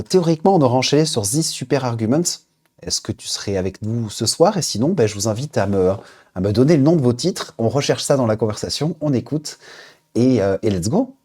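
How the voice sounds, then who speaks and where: A man's voice: medium pitch, warm tone, husky. A man hosting a casual live stream, reading names from the chat aloud and hesitating with lots of fillers as he queues up listeners' tracks. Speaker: Twitch stream host.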